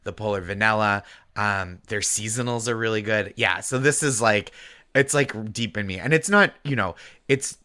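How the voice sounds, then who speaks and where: A man's voice: fairly low pitch, moderate pace. Chatty man with light Massachusetts accent. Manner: animated, chatty, laughs often. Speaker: podcast guest co-host. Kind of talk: casual chat.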